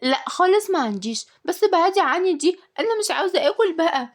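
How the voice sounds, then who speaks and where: Woman doing a high-pitched, stubborn little girl. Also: lively intonation, fast. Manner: shouting protests, childish. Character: stubborn, bratty eight-year-old girl, loud and whiny.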